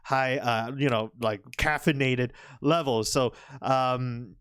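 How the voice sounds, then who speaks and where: A young man commenting on a Go match, chatty and rambling, his voice a little hoarse from overuse. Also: mid-range voice, fairly even intonation, takes it slowly, a bit bright, no echo, clean studio sound. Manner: chatty, rambling, frequent restarts and fillers. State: slightly hoarse, recovering voice. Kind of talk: casual game commentary.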